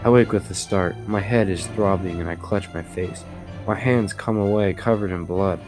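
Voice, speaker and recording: A man's voice: deep voice, normal speed, over music, lightly acted. A man acting as the haunted narrator of a gothic audio drama, telling it in the first person, grave and suspenseful. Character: haunted, desperate male narrator of a gothic tale. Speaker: narrator and protagonist.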